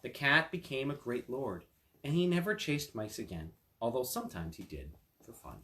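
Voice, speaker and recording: A man's voice: medium pitch, expressive, normal speed, a bit bright. A man reading a fairy tale and explaining its lesson in an informal, explanatory way. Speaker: attorney reading a fairy tale and giving estate tips. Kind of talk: storytelling and informal explanatory talk.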